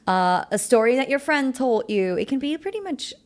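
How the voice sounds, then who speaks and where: A young woman who speaks English as a second language after German, hosting a casual interview about songwriting in a chatty, animated way. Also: sits high, normal speed. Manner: chatty, animated, often laughing. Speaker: podcast host.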